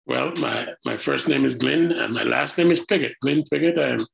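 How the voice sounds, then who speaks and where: A man in his late seventies from Barbados talks about his life in a slow, measured way and fills his pauses with "uh". His voice has a heavy gravelly fry. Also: medium pitch. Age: 70s. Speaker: interviewee, retired professor. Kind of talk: casual interview.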